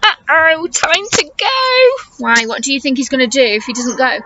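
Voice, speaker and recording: A woman's voice: high voice, big pitch swings, normal speed, dull audio, noisy background. A woman teacher reading a picture book aloud to young children, expressively, stopping to explain and ask them questions. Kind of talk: animated children's storytime read-aloud with questions to listeners.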